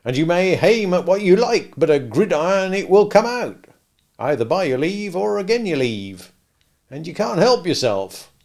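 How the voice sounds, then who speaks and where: A man voicing a kindly, slow-witted working-class blacksmith who talks ponderously in rustic grammar. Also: high voice, big pitch swings, darkish tone, pitched above the performer's own voice. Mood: earnest, patient.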